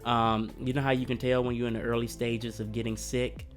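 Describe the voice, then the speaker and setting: A man's voice: medium pitch, little pitch movement, fairly quick, faint music behind. A man hosting a history channel, talking in an earnest, conversational way while he comes down with a cold. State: coming down with a cold. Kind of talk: reflective history commentary.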